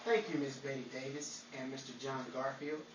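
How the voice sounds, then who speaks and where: A man's voice: medium pitch, little pitch movement, moderate pace. A man announcing in the style of a 1940s radio show, recorded far from the mic in a noisy, echoing room with a crowd behind. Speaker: announcer and cast member.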